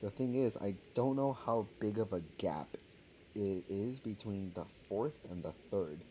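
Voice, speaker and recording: A man's voice: lowish voice, measured pace, mellow, slight background noise. A man giving casual, slangy, offhand commentary as he streams a game. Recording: game music behind the voice.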